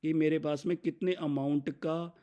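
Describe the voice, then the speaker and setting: An Indian man gives an instructional software demo. His voice is relaxed and warm, sitting a little higher than most men's. He explains each step in turn and slips English business terms into his sentences. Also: normal speed, smooth, muffled recording. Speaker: software demonstrator.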